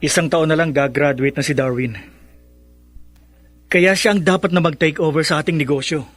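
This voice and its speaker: A man's voice: a little high, fairly even intonation, moderate pace, a bit bright, dry recording, faint music behind. A man acting in a Filipino radio drama as a vain, laid-back young adult. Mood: reluctant, defensive.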